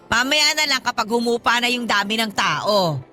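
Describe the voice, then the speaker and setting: Older freeloading godmother, high bright voice, pushy, wheedling; radio drama. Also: expressive, moderate pace. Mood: demanding.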